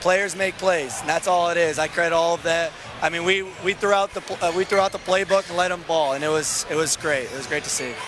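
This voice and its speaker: A man with a raspy voice, a first-time head coach giving a candid, self-deprecating postgame interview. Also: a little high, fairly quick, hardly any pauses.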